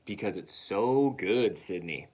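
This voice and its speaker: A man voicing a kindly, gracious woman schoolteacher in a children's storybook, gentle and measured. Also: on the low side, expressive. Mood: patient.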